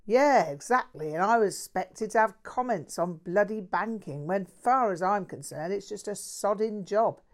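A woman's voice: mid-range voice, lively intonation, normal speed, few pauses, voice pushed up, lightly acted. A woman voicing a crude bloke. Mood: ranting. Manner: swearing, slangy, ranting. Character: crude brash working-class London man.

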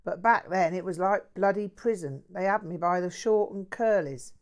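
A woman's voice: fairly low pitch, normal speed, voice pushed up, natural voice. A woman voicing a crude bloke. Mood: ranting. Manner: swearing, slangy, ranting. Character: crude brash working-class London man.

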